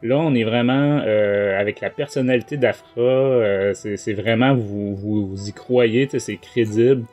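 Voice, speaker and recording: A man's voice: on the low side, a little slow, few pauses, a little mellow, highs cut off, soft background music. A man with a Quebec French accent, a podcast host giving a casual review in a hesitant, rambling way. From Quebec, Canada.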